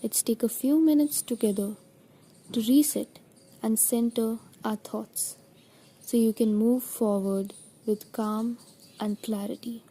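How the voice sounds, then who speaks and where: A woman with a fairly high, very breathy voice leads a guided meditation. Her tone is dark and relaxed, soft enough to trail toward a whisper. She speaks gently and very slowly, in a soothing, deliberate manner.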